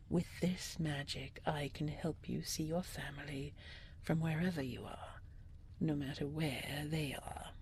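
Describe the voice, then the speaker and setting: A woman voicing a possessive, magical dragon queen, tender and regal, with breathy, formal, coaxing speech in a fantasy audio roleplay. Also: low-pitched, heavy reverb, in a large hall, far from the mic, a little hiss or hum. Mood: hushed, coaxing. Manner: formal, measured, coaxing, slightly archaic phrasing.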